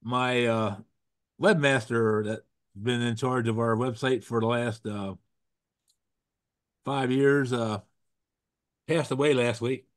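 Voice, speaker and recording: A man's voice: medium pitch, steady tone, takes it slowly, long pauses. A man with a froggy voice from a cold hosts a casual show over a video call. He rambles, restarts his sentences and drops corny puns. The sound is close and dry, with no room echo. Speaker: host. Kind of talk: casual chat with jokes.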